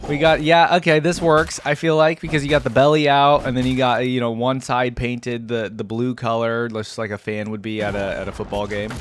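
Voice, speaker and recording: A man's voice: mid-range voice, little pitch movement, a little fast, hardly any pauses, a little hiss or hum. A man giving casual reaction commentary in a conversational way, thinking aloud as he goes. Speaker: gaming video creator, reactor. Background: music.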